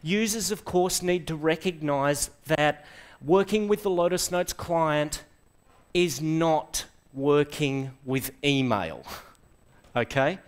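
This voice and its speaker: A middle-aged Australian man delivers a lively tech talk in a lecture hall, his voice sitting a touch high. He keeps throwing questions at the audience and breaks in with quick asides. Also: clean tone. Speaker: conference presenter.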